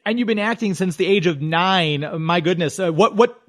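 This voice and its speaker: High-voiced man hosting a radio interview. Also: moderate pace. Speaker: radio show host, interviewer.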